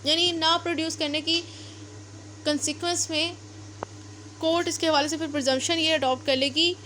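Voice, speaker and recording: A woman's voice: high-pitched, steady tone, moderate pace, sharp tone, slight background noise, rough recording. A woman with a Pakistani accent giving an explanatory lecture as a law teacher, going step by step with repetition and mixing in English terms.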